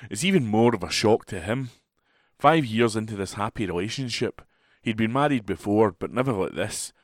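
Male volunteer reader for printed reviews, slightly low voice, very dry home recording. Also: lively intonation, moderate pace, dry recording. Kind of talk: reading a book review aloud.

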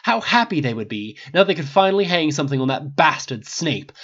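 A man's voice: on the high side, very animated, brisk, a bit bright, lo-fi sound, voice pushed up. A man voicing a bitter, menacing older wizard with cutting, formal, sarcastic diction in a dramatic story reading. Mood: bitter, accusing.

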